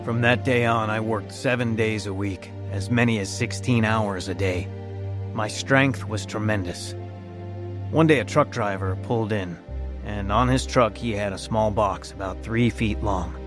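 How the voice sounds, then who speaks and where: An actor as a blunt, bitter mechanic, music behind. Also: male voice, fairly low pitch, unhurried, natural voice. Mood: grave, reflective. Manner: blunt, combative. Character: bitter, hot-tempered working-class mechanic telling his life story. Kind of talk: dramatised radio testimony, narrating and acting.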